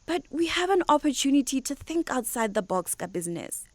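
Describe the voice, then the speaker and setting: An actress playing a confident young bride-to-be in a radio drama, speaking articulately with a Botswana accent. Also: female voice, high-pitched, big pitch swings, a little fast, darkish tone, no echo, clean studio sound. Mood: earnest, persuasive. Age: young adult. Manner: articulate, explanatory, code-switching. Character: confident young bride-to-be businesswoman, reasoning earnestly.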